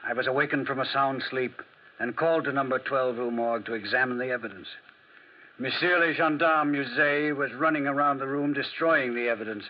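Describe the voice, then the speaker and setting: An actor playing a wry, measured investigator, narrating deliberately in a 1940s radio drama. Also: male voice, medium pitch, lightly acted. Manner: dry, deliberate. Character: wry, measured male investigator recounting a case. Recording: muffled lo-fi 1940s radio recording.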